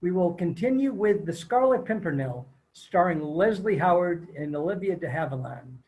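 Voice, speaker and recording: High-pitched man as radio announcer. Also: lively intonation, moderate pace, darkish tone, rough recording. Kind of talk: old-time radio announcing, scripted. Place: remote video call.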